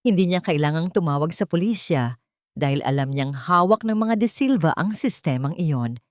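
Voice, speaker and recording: Low-pitched woman narrating a drama audiobook. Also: lively intonation, normal speed, no echo, clean studio sound. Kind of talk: dramatic scripted story narration.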